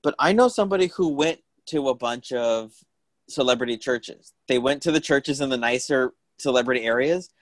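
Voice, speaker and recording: A man's voice: medium pitch, normal speed, dry recording. A man in his late thirties co-hosting a casual chat, joking and sardonic, filling his talk with "like". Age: 30s.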